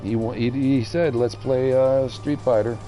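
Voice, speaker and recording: A man streaming a video game with casual, rambling commentary, haltingly thinking aloud as he reads the menus. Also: mid-range voice, steady tone, mellow, soft background music.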